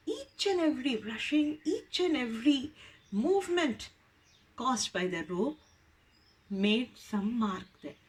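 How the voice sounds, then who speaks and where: A woman's voice: sits high, poor audio. A woman storyteller narrating informally, repeating words and phrases for emphasis.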